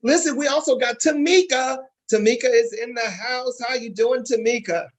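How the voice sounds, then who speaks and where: A man's voice: extremely high pitch, moderate pace. A man hosting a casual panel interview, often chiming in with affirming words. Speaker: host interviewing stage play cast.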